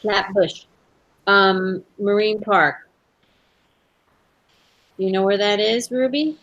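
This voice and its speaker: A woman's voice: medium pitch, slow, a bit bright, lo-fi sound. A woman from Brooklyn with a Brooklyn accent, chatting casually as host with a friend and online viewers. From Brooklyn, New York. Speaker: host of a live crafting hangout.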